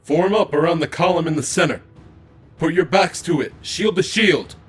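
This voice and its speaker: A booming, commanding battle mage, acted by a man, speaks as a seasoned warden in a fantasy audio drama. His voice sits slightly high, with music behind it. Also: lively intonation, normal speed, breathy edge. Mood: commanding, urgent.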